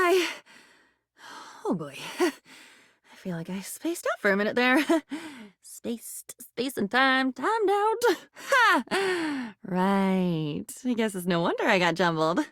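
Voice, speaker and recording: A woman voicing a whimsical, neurotic living diary in a video game, with a theatrical, rambling way of talking that swings between wonder and excitement. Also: high-pitched, dry recording, clean studio sound, heavily acted. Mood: anxious, flustered. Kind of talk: video game character acting.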